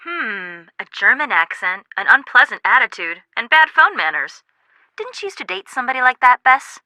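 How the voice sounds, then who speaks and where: A woman's voice: fairly high pitch, big pitch swings, moderate pace, bright, forceful tone, dry recording, full character voice, rough recording. An actress playing a sensible, dry-witted young American woman in a video game, wry and matter-of-fact. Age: young adult.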